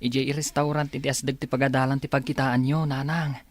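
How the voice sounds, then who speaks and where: A man acts as a dutiful young student son in a Philippine radio drama. He speaks to his mother in a voice of middling pitch. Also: little pitch movement, quick pace, no echo, natural voice. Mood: explaining. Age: young adult.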